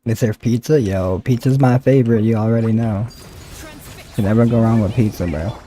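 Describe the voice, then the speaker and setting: A man's voice: on the low side, moderate pace, warm tone. A man livestreaming a game, chatting casually with viewers in a chummy, slangy way. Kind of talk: casual game stream chat.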